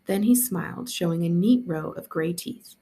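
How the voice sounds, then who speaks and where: A woman reading a story aloud as a school librarian, in an expressive narrating style. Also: on the low side, normal speed.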